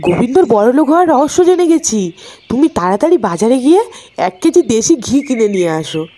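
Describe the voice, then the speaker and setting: A woman playing an excitable, gullible, pushy neighbour wife in a cartoon, with a dramatic, insistent delivery. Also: fairly high pitch, a little fast, slight background noise. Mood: eager. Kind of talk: Bengali cartoon voice acting.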